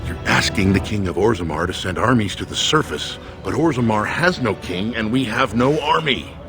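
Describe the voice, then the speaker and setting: A man's voice: on the low side, expressive, normal speed, music in the background. An actor playing an earnest, guarded dwarf nobleman's loyal second, speaking formally and with measure in a fantasy game. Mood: grave, urgent. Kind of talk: dramatic fantasy game acting.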